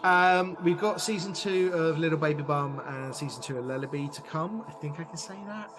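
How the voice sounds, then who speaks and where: A man's voice: on the high side, brisk, a crowd in the background. A middle-aged man talking as a podcast guest about his work in a chatty, playful and enthusiastic way. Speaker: guest, audio drama producer and director.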